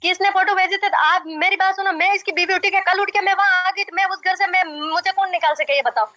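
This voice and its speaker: Very high-pitched insistent young woman. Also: steady tone, fairly quick, sharp tone. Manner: insistent, repetitive, argumentative. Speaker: caller in a marriage dispute. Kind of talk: heated phone conversation. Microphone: phone call. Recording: dull echoey phone call recording.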